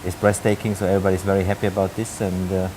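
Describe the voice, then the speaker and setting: A man's voice: low voice, flat delivery, racing, noisy background. A middle-aged man from Austria with an Austrian German accent, a hotel general manager answering interview questions hesitantly, with many fillers and restarts.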